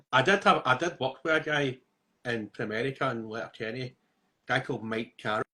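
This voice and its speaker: A man's voice: medium pitch, moderate pace, sharp tone. Jokey man laughing through stories. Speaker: podcast co-host. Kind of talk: casual podcast chat.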